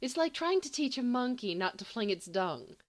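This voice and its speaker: A woman's voice: fairly high pitch, sing-song, moderate pace, pitched above the performer's own voice. Woman reading as wry, self-assured teenage girl. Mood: bossy, affectionate. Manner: teasing, mildly dry.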